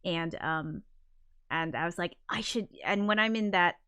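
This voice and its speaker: A woman with a high voice talks casually on her livestream, reading out viewer comments. She rambles, restarts her sentences often and giggles between thoughts, close to a desk mic. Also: unhurried, a bit bright, clear, resonant tone. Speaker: composer streaming live.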